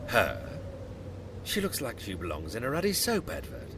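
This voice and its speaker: An actor playing a pompous, mock-genteel British explorer turned sinister cannibal in an audio drama, with old-fashioned phrasing. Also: male voice, medium pitch, huge pitch swings, moderate pace, noisy background. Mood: pompous, wry. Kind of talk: theatrical audio drama acting.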